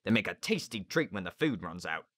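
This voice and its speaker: A man voicing a gruff, thickset sailor, a ship's first officer in a storybook, curt and menacing. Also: deep voice, very animated, brisk, fairly bright tone, full character voice. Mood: threatening.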